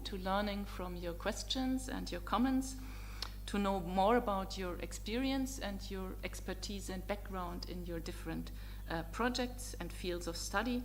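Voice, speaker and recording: A middle-aged woman whose first language is German, a visiting professor giving a formal, courteous opening address to students, measured and dotted with ums and uhs. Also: medium pitch, slight background noise.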